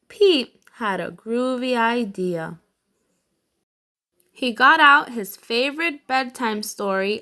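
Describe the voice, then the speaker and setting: Bright, slow-paced woman reading to children. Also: fairly high pitch. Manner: slow, deliberate, emphatic phrasing for children. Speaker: read-aloud children's storyteller and channel host. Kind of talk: expressive storybook narration for young children.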